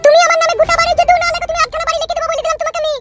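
A woman's voice: very high voice, little pitch movement, racing. A woman voicing a quarrelsome village wife in a comedy cartoon, scolding and demanding with coarse language. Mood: threatening. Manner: scolding, foul-mouthed, demanding. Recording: loud music under the voice.

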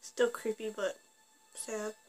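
A woman's voice: mid-range voice, little pitch movement, takes it slowly, darkish tone, faint music behind. A young adult woman giving casual reaction commentary, exclaiming and trailing off as she goes. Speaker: reaction vlogger. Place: small room at home, indoors.

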